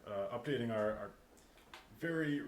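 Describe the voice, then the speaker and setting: A man's voice: medium pitch, lively intonation, normal speed. A man hosting a podcast, talking casually in a panel discussion. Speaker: podcast host. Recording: roomy echo with clear background noise.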